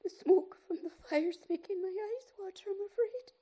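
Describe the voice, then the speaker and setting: Woman acting a gentle bereaved mother, high pitch, soft warm tone, halting, brave front, radio play. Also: lo-fi sound. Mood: brave, shaky. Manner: halting, tender, trailing off. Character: grieving, gentle mother putting on a brave face.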